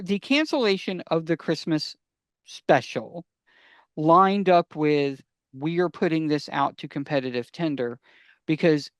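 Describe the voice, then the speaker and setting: A man's voice: fairly high pitch, no echo. An American man co-hosting a podcast, explaining things emphatically and repeating phrases for stress. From United States. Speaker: podcast co-host.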